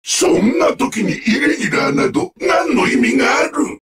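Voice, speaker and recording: An actor playing a sadistic, mocking combat-robot villain in a Japanese video game, with sneering, theatrical, drawn-out taunts. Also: male voice, very high-pitched, sing-song, shouting, distant mic, background noise, heavily acted.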